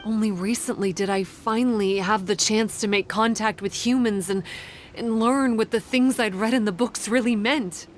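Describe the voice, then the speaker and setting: A woman voicing a young half-serpent outcast in a video game, solemn, wistful and guarded, with halting pauses. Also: mid-range voice, steady tone, a little hiss or hum, natural voice. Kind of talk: dramatic video game acting.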